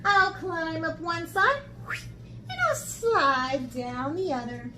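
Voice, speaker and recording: A woman art teacher talking to young children in an expressive, encouraging way, as in a lesson or picture book read-aloud. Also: extremely high pitch, takes it slowly, noisy background. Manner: expressive, encouraging talk aimed at young children, with dramatic gasps.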